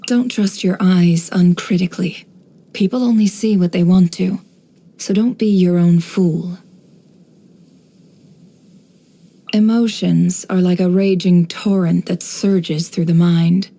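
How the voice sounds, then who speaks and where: An actress voicing a sly, composed young woman, an intelligence broker in a video game, speaking in a measured, coolly knowing, teasing way. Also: fairly low pitch, steady tone, mellow. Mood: cool, cryptic. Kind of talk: video game character voice lines.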